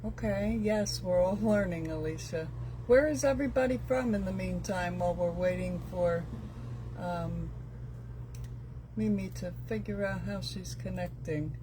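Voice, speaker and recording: A woman hosting a live craft stream, chatting casually with viewers and a guest, halting often with fillers. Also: fairly low pitch, background noise.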